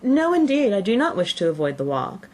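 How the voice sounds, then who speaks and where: A woman voicing a spirited, stubborn young woman in an audiobook, answering firmly and briskly. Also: mid-range voice, sing-song, a little mellow, voice pushed up. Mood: determined. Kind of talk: audiobook character voice.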